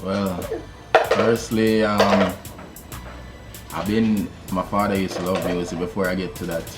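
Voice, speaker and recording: Deep-voiced Jamaican man, laid-back. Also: level tone, unhurried, loud music behind the voice. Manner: laid-back, many fillers, laughs easily. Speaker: musician guest. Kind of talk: casual interview storytelling.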